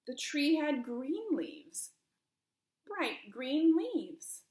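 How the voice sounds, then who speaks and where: A woman's voice: high voice, big pitch swings, very slow, a little mellow. A woman reading a children's storybook aloud expressively, with emphasis and questions put to young listeners. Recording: roomy, echoing room.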